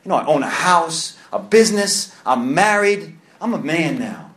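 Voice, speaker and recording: A man's voice: fairly high pitch, lively intonation, moderate pace, fairly bright tone, reverberant. A man in his thirties with a New York accent, giving earnest personal testimony to a recovery group, often repeating and restarting phrases. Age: 30s. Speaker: recovery meeting speaker. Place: meeting room.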